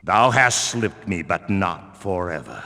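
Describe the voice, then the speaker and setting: An actor playing a stern, zealous religious warrior guard in a fantasy video game, speaking in pious, archaic, declamatory phrases. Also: male voice, low voice, expressive, slow, bright, forceful tone, exaggerated voice. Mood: menacing, taunting. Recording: long reverberant hall echo.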